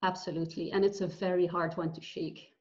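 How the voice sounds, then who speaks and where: A woman from Belgium in her forties, a guest telling her story with pauses and fillers over a video call from home. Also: on the low side, flat delivery, darkish tone. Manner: earnest, reflective, with many pauses and fillers. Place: bright room at home. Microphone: video call webcam. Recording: dull lo-fi video call audio.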